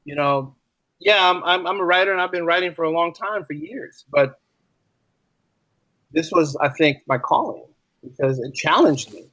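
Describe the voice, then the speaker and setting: Higher-voiced man, earnest, dull dry recording. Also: normal speed, long pauses, pushed and bright, dry recording. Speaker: podcast guest, writer and teacher. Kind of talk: casual conversational storytelling. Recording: dry, slightly dull lo-fi line.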